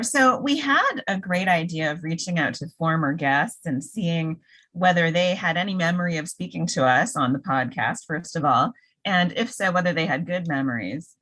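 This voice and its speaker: A woman with a low voice co-hosts a podcast in a dry room, chatting casually. She jokes playfully as she talks. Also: lively intonation, normal speed, bright, forceful tone, no room sound. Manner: playful and joking. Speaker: podcast co-host. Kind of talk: casual chat.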